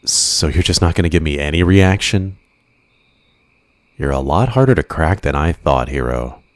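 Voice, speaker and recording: A man playing an obsessive, possessive villain in an ASMR roleplay, speaking with deliberate, intimate menace and coaxing endearments. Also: a bass voice, expressive, no echo. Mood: menacing, possessive. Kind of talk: ASMR roleplay, scripted monologue.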